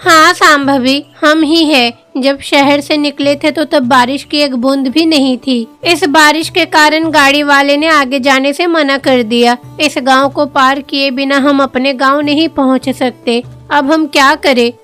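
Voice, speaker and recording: Spoiled city woman in a cartoon, played by a woman, whiny and high. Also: steady tone, unhurried, a bit bright, soft background music. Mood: fussy. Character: fussy spoiled city woman, complaining relative.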